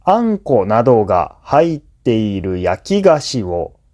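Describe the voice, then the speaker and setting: Slow, mellow male narrator. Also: on the low side, sing-song, dull audio. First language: Japanese. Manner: measured, clearly phrased explanatory delivery. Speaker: language teacher reading listening practice. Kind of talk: scripted educational narration.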